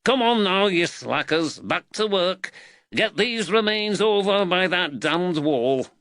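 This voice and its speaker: Man doing a grudging, gruff foreman, pitched up. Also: lively intonation, slow, dry recording. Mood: barking. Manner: grumbling, rough colloquial speech. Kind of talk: audiobook character dialogue.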